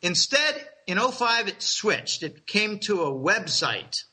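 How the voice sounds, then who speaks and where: A man's voice: high-pitched, big pitch swings, takes it slowly, bright, forceful tone, slightly rough. A middle-aged man casually telling rambling, conspiratorial stories, chuckling and restarting his sentences.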